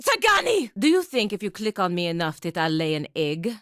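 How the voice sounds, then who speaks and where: A woman's voice: fairly low pitch, wildly swooping pitch, fairly quick, fairly bright tone, no room sound. A woman voicing a proud, disciplined birdlike paladin in a video game, with a dry, clipped, commanding manner and sardonic edge. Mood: anguished shouting. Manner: dry, clipped, commanding, with foreign words mixed in. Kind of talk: video game voice acting, dialogue and battle barks.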